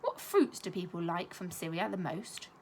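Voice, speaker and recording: A woman voicing a curious, earnest young schoolchild in a storybook reading, lifting her voice higher and brighter for the child's questions. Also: big pitch swings, normal speed, a little hiss or hum. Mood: eager.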